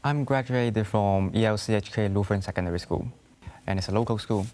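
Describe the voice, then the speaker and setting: Low-voiced teenage man, halting English. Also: a little mellow. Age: late teens. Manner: hesitant, simple halting English. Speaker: interview guest, school graduate. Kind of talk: studio interview answers. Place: TV studio.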